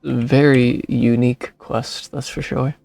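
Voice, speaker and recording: A man's voice: mid-range voice, flat delivery, slow pace, creaky, darkish tone, no echo, faint music behind. A man giving casual commentary as he streams and reacts to a game. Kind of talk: casual gameplay commentary, reading along.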